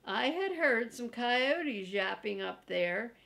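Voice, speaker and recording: An older woman reads a story aloud for children. Her voice sits a little high for a woman, and she takes her time, going slowly through the sentences. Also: expressive, gravelly, sharp tone. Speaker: storybook reader. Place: living room, seated in an armchair.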